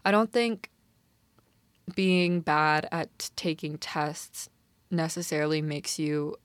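Low-pitched young Canadian woman chatting casually. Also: slow pace, dry recording. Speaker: podcast co-host. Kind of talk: casual chat discussing a TV drama.

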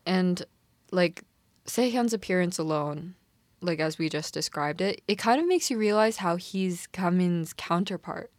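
A woman's voice: on the low side, animated, unhurried, no echo. A young woman with a Canadian accent co-hosting a casual podcast chat, talking in an informal, slangy, emphatic way. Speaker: podcast co-host. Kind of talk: casual chat discussing a TV drama.

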